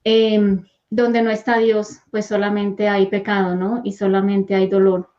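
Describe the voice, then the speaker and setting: Lady, soft earnest testimony, pretty flat tone, dull lo-fi audio. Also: mid-range voice, unhurried. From Colombia. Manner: soft, earnest and heartfelt, with gentle fillers. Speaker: guest, host's wife. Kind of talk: personal faith testimony. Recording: dull, lo-fi sound.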